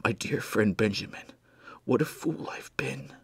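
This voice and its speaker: A man voicing a dying young man who speaks in a weak, breathy whisper, tender and remorseful. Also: sits high, big pitch swings, moderate pace, no echo, pitched above the performer's own voice, heavily acted. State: gasping, weak.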